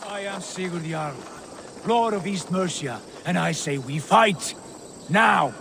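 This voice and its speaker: An actor playing a proud, zealous Viking jarl in a video game, with a grandiose, declamatory delivery. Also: male voice, sits high, lively intonation, takes it slowly, vocal fry, background noise, full character voice. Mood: defiant. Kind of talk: video game cutscene acting.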